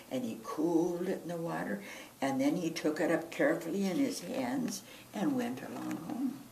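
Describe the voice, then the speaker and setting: A low-voiced woman reads a picture book to children, slowly and with very lively intonation. She is a little way from the mic in a roomy space. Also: creaky. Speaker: library children's storyteller. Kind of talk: animated storybook read-aloud. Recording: roomy, distant mic, faint noise.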